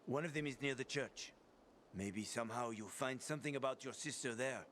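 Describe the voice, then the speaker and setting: A man's voice: medium pitch, a little fast, a bit bright, slight background noise, lightly acted. An actor playing a weary, friendly young man who talks plainly and a little crudely, over game music. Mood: earnest. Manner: friendly, coaxing, a bit crude. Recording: game soundtrack music behind.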